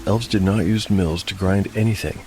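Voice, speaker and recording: A man narrating as host of a scripted dark fantasy story show, in a measured, articulate storytelling manner. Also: deep voice, fairly even intonation. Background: music.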